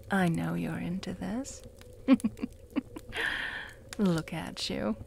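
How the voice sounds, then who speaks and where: Possessive vampire mistress, voiced by a woman, mocking and cruel, unhurried delivery, mid-pitched, breathy whispers. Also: slight background noise. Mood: menacing, hungry. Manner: slow, purring, often dropping to a whisper; taunting, with soft mocking laughs. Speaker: voice actor in audio roleplay. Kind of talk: audio roleplay addressed to the listener.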